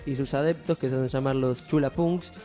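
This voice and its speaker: A man with an Argentine accent co-hosting an informal radio show, giving recommendations in a halting, hesitant way. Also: medium pitch, steady tone, dark tone, faint music behind.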